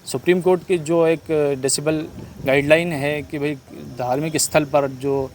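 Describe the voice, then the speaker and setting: A man's voice: fairly high pitch, steady tone, unhurried, darkish tone, a little hiss or hum. A man giving a spontaneous interview statement, explaining and arguing his side as a petitioner, mixing in English terms.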